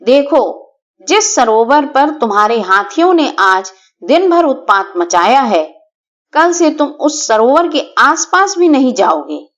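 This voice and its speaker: A bold rabbit messenger from a storybook, played by a woman, proclaims orders in a high, piercing voice. The delivery swings widely, haughty and menacing, with a harsh edge. The sound is a little dull. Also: very animated, slow, full character voice. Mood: commanding, threatening. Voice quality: shrill, harsh. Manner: loud, imperious proclaiming. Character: bold rabbit envoy, commanding, haughty and threatening. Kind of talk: children's storybook character voice.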